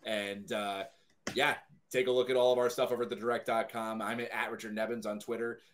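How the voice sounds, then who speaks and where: A man's voice: on the low side, little pitch movement, fairly quick, a bit bright, a little hiss or hum. A man chatting casually as a podcast guest, friendly and appreciative. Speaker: podcast guest, entertainment news writer. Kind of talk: casual chat.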